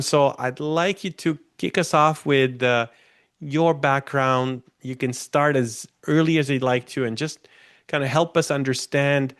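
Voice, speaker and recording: A man hosting an interview, warmly introducing his guest and inviting him to tell his story, with occasional fillers. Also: mid-range voice, clean tone, no room sound, studio quality. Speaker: podcast host.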